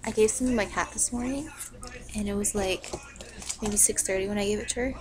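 A young woman in her teens rambling in a hyper, filler-heavy way in a casual bedroom webcam rant, her voice low and rough from the cold. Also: a little fast. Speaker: vlogger. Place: bedroom, indoors. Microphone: built-in webcam mic. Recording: noisy webcam recording with TV behind. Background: TV playing in the background.

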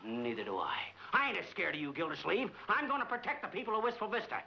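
An American actor playing a middle-aged, cocky, excitable small-town club president in a 1940s comedy film, brash and wisecracking. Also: male voice, high voice, fast, gravelly, exaggerated voice. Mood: combative. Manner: brash, wisecracking, mangles big words. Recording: dull, noisy 1940s film soundtrack.

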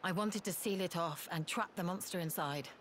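A woman's voice: on the low side, normal speed, slight background noise, lightly acted. A woman playing a stern, proud winged warrior in a video game, speaking in a grave, commanding manner. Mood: grave, resolute. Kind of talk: video game cutscene acting.

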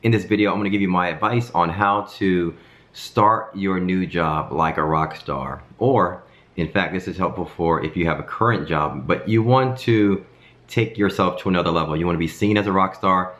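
A man in his thirties, a bit hoarse from a cold, giving casual advice to camera from his home kitchen and checking in with "okay?" as he goes. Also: low voice, normal speed. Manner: animated hand gestures, frequent "um" and "okay?". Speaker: design career vlogger.

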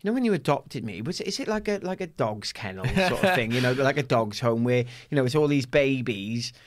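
A man in his forties with a Newport, South Wales accent, a guest telling lively stories in a podcast studio, full of fillers and laughter. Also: mid-range voice, brisk. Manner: animated storyteller, laughs often, many fillers. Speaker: guest, former athlete. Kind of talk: casual interview chat. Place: small padded podcast studio. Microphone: studio mic on boom arm.

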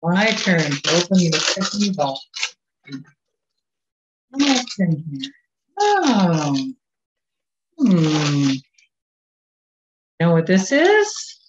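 Slow, gentle woman leading a children's talk. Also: lowish voice, very animated, a little hiss or hum. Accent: Canadian. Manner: gentle, unhurried, coaxing questions to children. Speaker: children's time leader. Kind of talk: children's story at a church service. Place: small office at home. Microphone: webcam on a video call. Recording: dull, muffled webcam sound.

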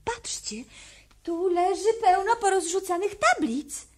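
A woman's voice: extremely high pitch, a little slow. A woman playing a knowing, secretive young female creature in a children's audio play. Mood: cheerful.

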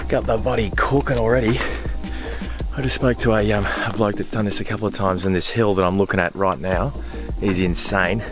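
An Australian man in his thirties chatting casually and excitedly to camera outdoors, in exclamatory, slangy speech. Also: fairly low pitch, brisk, music in the background. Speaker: vlogger. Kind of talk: casual vlog chat to camera. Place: outdoors on a mountain trail and car park. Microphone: handheld camera at arm's length.

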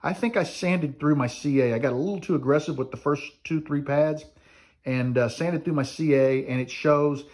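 A man in his fifties talks to his viewers in an easygoing, chatty way, dropping plenty of "uh" fillers as he goes. His voice sits a little high for a man. He films himself at arm's length inside his home woodshop. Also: normal speed. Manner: relaxed, chatty, lots of "uh" fillers. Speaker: woodworking channel host. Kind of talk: casual shop update vlog. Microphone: camera held at arm's length.

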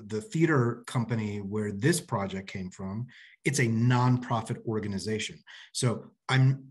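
A man in his forties explaining in deliberate, measured phrases as a panelist on a video call. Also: mid-range voice, breathy edge. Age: 40s. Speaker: panelist, theater producer. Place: indoor office. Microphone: video call, laptop at desk distance.

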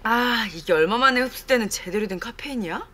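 A woman's voice: on the high side, animated, a little fast, pushed and bright. A woman in her twenties playing a defiant, sulky daughter who grumbles and snaps in a sitcom. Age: 20s. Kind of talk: sitcom acting.

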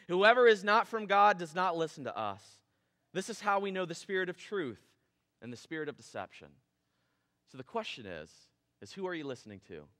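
A man in his thirties preaching a casual, animated sermon on a church stage. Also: high-pitched. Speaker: pastor. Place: church stage with drum kit. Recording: long hall reverb in church.

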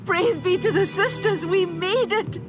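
A woman voicing an earnest, gentle elephant-like alchemist in a video game, with an expressive, emotive delivery. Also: extremely high pitch, slow, music in the background. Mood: relieved, earnest. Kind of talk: dramatic video game voice acting.

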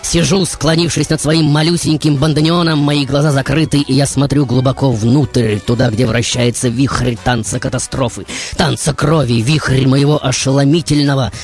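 A man hosts a radio show in a bombastic, theatrical monologue. He speaks in grand, florid phrases at a middle pitch, with music clearly playing behind him. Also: fairly even intonation, moderate pace, a little mellow. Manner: bombastic, florid, stumbling over tongue-twisting words.

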